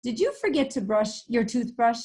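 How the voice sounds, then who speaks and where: A woman's voice: on the high side, big pitch swings, moderate pace, a little mellow, pitched above the performer's own voice. A woman reading a picture book aloud as an exasperated mother frog who calls out and nags. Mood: nagging. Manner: calling out, nagging.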